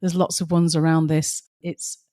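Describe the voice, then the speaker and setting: A woman's voice: sits low, flat delivery, normal speed, mellow. A woman co-hosting a podcast, telling stories in a passionate, emphatic way, her voice slightly strained as if she is losing it. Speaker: podcast co-host. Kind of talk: casual podcast chat with stories.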